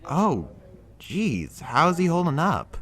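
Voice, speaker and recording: A man's voice: on the high side, huge pitch swings, takes it slowly, a bit bright, full character voice. A man playing a blunt but well-meaning friend in an audio drama, casual, incredulous and chiding. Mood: concerned, reasoning. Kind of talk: conversational audio drama acting.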